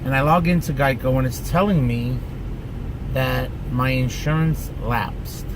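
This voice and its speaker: A man's voice: mid-range voice, unhurried. A man in his forties telling a chatty, exasperated story to his viewers from inside his car. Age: 40s. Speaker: vlogger. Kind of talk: casual rant to camera. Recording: steady air conditioning hum.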